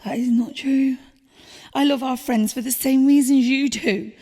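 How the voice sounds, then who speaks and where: Audio drama girlfriend female actor: very high voice, hurt, defensive, pleading to keep her man, in a reverberant hall. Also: fairly even intonation, unhurried, dark tone, husky, soft background music, heavily acted. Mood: defensive, exasperated. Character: pleading, wounded girlfriend clinging to her relationship. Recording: long reverberant hall sound.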